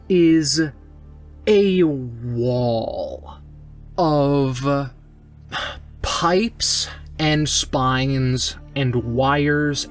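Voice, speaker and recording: A storyteller describing a scene for a tabletop game, deliberate and pausing between phrases. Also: medium pitch. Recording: music behind the voice. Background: music.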